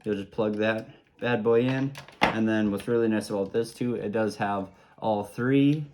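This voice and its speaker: A man with a fairly low voice walks through a product demonstration. He explains each step simply and informally, in an easygoing way. Clear noise runs behind his home recording. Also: steady tone, unhurried. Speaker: product reviewer.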